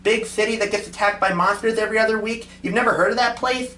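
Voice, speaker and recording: A man's voice: high-pitched, fairly even intonation, quick pace, sharp tone, reverberant, voice pushed up. A man voicing a tough little cartoon superhero girl who talks bluntly and combatively. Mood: irritated.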